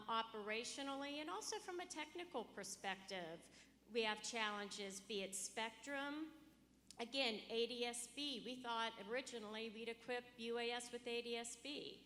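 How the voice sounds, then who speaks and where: A woman's voice: on the high side, bright, forceful tone. A woman speaking as an expert panelist in a conference discussion, recorded in a hall. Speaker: panelist, aviation regulator. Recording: echoey hall sound with background noise.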